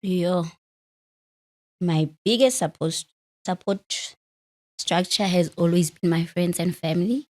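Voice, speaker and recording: Woman, late thirties, lowish voice, candid, self-deprecating, conversational interview. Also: takes it slowly, pauses often, darkish tone. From Free State, South Africa. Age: late 30s. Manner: open, self-deprecating, laughs easily. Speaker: podcast host, interviewed guest.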